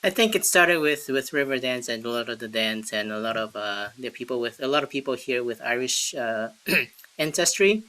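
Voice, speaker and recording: A man's voice: mid-range voice, animated, normal speed, pushed and bright, poor audio. A man, giving short, matter-of-fact answers in an interview over a video call. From Singapore. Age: 40s. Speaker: interview guest, dancer.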